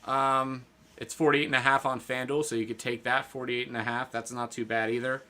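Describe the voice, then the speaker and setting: This is a man with a very bright, forward tone, co-hosting a sports betting chat. His talk is loose and rambling, full of fillers and restarts. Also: mid-range voice, flat delivery, brisk. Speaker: podcast co-host.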